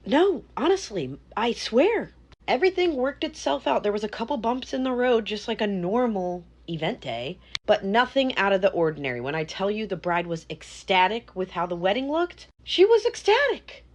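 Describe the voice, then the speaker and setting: A woman's voice: mid-range voice, animated, moderate pace, a little hiss or hum, voice pushed up, close to an everyday voice. A woman voicing a scheming, evasive young adult daughter who talks in valley-girl fillers. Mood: defensive.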